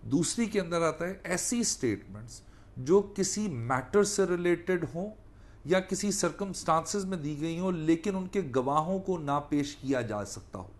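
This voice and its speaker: A man's voice: on the high side, expressive, unhurried. A man with a Pakistani accent giving an explanatory law lecture, didactic, restating points and mixing in English legal terms. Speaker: law lecturer and defence attorney.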